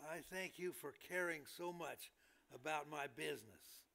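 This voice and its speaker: Man playing a slow, creaky, flustered hospital patient. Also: fairly high pitch, sharp tone, full character voice. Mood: woozy, wry. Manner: halting, stammering, complaining. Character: flustered, suggestible, self-pitying male hospital patient. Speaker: amateur actor in a staged reading. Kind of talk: staged comedy play reading.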